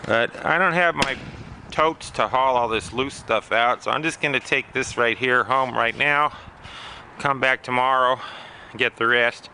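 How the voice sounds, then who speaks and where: Slow-talking man with a bright, forceful voice. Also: medium pitch, slight background noise. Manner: plainspoken, matter-of-fact item listing with pauses. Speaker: vlogger showing storage auction finds. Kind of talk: casual unscripted narration to camera.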